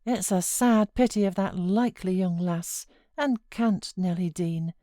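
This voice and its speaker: A woman's voice: lowish voice, measured pace, dark tone, dry recording, studio quality, natural voice. A woman voicing a gossipy, good-natured country servant in a novel, exclaiming in rural dialect. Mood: gossiping.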